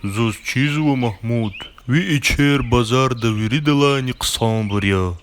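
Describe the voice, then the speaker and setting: A man's voice: mid-range voice, unhurried, slight background noise, natural voice. A man voicing a plain-spoken village man in a dubbed cartoon. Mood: conversational.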